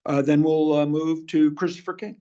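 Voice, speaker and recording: A man chairing a public meeting, speaking slowly and hesitantly with many fillers. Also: a little high, flat delivery, dark tone. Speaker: board chair. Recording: muffled, distant meeting audio.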